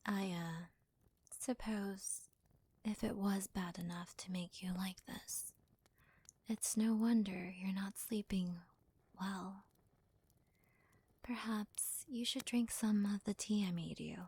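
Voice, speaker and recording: Woman as a breathy, slow-speaking devoted maidservant. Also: medium pitch, fairly even intonation, no room sound. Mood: concerned, soothing. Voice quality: soft, breathy, often half-whispered. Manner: gentle, deferential, hesitant. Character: devoted, doting young female servant to her master. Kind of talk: ASMR roleplay.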